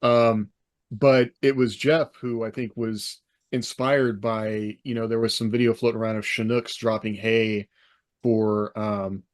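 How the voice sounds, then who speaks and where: A man's voice: lowish voice, level tone, measured pace. A middle-aged American man hosting a casual podcast, talking in a rambling, self-correcting way. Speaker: podcast host.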